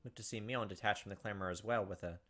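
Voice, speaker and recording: A man's voice: lowish voice, steady tone, fast, fairly bright tone, faint music behind. A man hosting a gaming video, reading visual novel narration and commenting casually.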